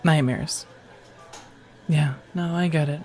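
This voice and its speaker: A woman's voice: low voice, steady tone, slow pace. A woman playing a friendly, teasing firefighter on a date in an intimate, casual ASMR romance roleplay, chatty and reassuring. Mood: sympathetic. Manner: chatty, playfully wry, reassuring, sprinkled with soft laughs.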